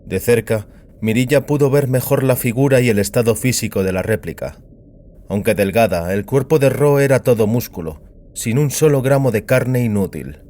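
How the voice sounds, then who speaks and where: A man's voice: mid-range voice, darkish tone. A man reading a science fiction story as scripted dramatic narration.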